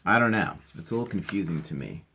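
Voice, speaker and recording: A man's voice: sits low, animated, fast talker, bright, forceful tone, breathy edge. A man reading a picture book aloud, adding casual, enthusiastic explanations and asking the listener questions. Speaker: teacher reading aloud to children.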